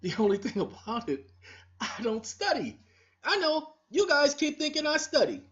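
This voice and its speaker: Woman video host, preachy confrontational monologue, animated delivery, muffled lo-fi audio. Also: high-pitched, moderate pace. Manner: preachy, scolding, repetitive, talks straight to the audience. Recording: dull lo-fi recording.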